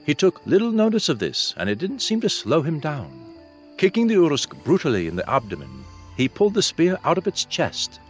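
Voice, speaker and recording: A man's voice: on the high side, wildly swooping pitch, brisk, faint music behind. A man reading a fantasy novel aloud as scripted audiobook narration.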